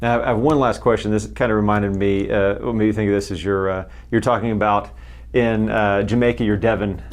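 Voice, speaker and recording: A man's voice: fairly low pitch, steady tone, a little fast. A man hosting a podcast interview, asking questions and acknowledging the guest often. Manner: encouraging, frequent short acknowledgments. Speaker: podcast host.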